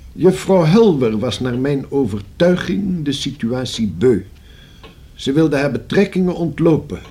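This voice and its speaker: Courteous elderly informant for radio play: soft dark tone, husky, circumspect. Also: male voice, medium pitch. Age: older. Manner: formal, measured, circumspect. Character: courteous, careful old man, discreet informant. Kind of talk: Dutch radio play acting.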